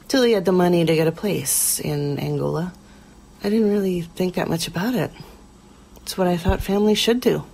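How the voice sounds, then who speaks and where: A woman playing a quiet, lonely middle-aged churchgoer who recounts her past haltingly and plainly, as in a documentary interview. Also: lowish voice, vocal fry, slight background noise, poor audio. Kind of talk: audio drama mock-documentary interview.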